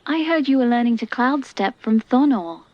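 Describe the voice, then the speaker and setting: A woman playing a gentle, expressive young woman in a fantasy audio drama. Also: fairly high pitch, dry recording, lightly acted. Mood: amused. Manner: expressive, measured. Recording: dull, dry close recording.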